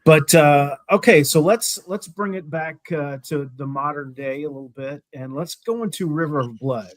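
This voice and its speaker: A man's voice: a little high, normal speed, no echo. A man hosting a casual interview show, talking loosely with many fillers and jokes about himself. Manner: loose, filler-heavy, self-deprecating joker. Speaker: podcast host.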